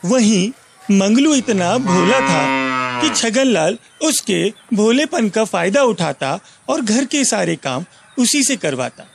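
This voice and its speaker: A man's voice: high voice, very animated, slow pace, creaky, faint music behind. A man narrating a scripted moral story as a storyteller.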